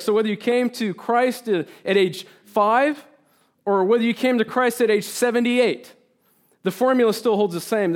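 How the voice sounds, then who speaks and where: A man who grew up in Turkey and spoke Turkish first, preaching an earnest, animated sermon as a guest preacher and reading scripture aloud. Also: high voice. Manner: earnest and animated, repeats words when emphasising, reads scripture aloud.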